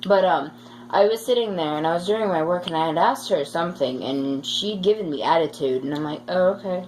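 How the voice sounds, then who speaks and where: A woman's voice: fairly low pitch, a little fast, a little mellow, low-quality recording. A young woman rambling through casual stories with a playfully dramatic streak. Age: teen or young adult. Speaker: vlogger telling school stories. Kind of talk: casual storytime chat. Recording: faint background noise.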